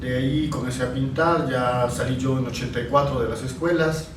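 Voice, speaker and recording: A man in his sixties, a painter born in Italy, recalling his past in an interview and often pausing to find his words. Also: mid-range voice, fairly even intonation, background noise. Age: 60s. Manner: reflective, pausing to search for words. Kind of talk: reminiscing in an interview. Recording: roomy, distant mic with faint ambience.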